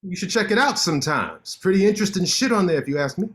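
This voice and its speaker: An actor playing a cocky, sharp-tongued male lawyer in a staged play reading, teasing his friend with quick banter. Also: sits high, expressive.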